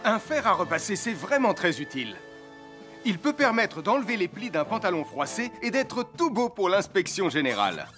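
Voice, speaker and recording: An actor playing a friendly, responsible firefighter hero in a French cartoon dub, upbeat and instructive. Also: male voice, high voice, sing-song, normal speed, a bit bright, faint music behind.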